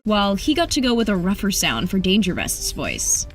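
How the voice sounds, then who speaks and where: A woman's voice: mid-range voice, huge pitch swings, normal speed. A woman narrating an entertainment video from a script. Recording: music under the voice. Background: background music.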